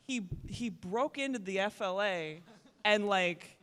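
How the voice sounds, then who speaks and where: An animator guest telling a story in a casual chat, animated and chatty. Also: mid-range voice, slow, clear, resonant tone, in a large hall.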